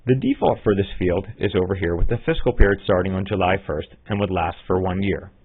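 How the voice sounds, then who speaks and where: A man narrating a scripted software tutorial as an instructor, in a methodical, step-by-step way. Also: low voice, quick pace, husky. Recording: muffled, phone-like narrow-band audio.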